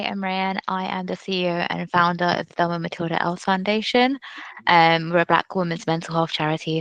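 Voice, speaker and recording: Brief: halting, sincere charity founder on a webinar panel, gravelly. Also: medium pitch, fairly bright tone, no echo. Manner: earnest, halting with many fillers. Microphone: video call.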